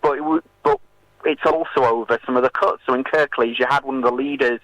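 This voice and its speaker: Halting British man in a dry radio interview. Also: mid-range voice, a bit bright, no echo, dull audio. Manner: halting, hesitant delivery with many filled pauses. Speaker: political campaigner and interview guest. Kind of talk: radio interview, explaining and arguing.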